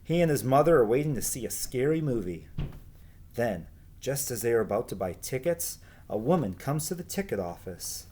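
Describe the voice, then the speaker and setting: A man reading a children's book aloud as a teacher would, in an expressive storytelling style with emphatic dialogue. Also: mid-range voice, normal speed.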